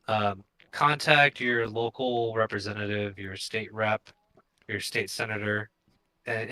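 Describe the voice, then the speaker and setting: A man co-hosting a casual discussion, giving firm opinions in halting, unscripted phrases. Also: medium pitch, pushed and bright, rough recording. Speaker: co-host of a crypto show.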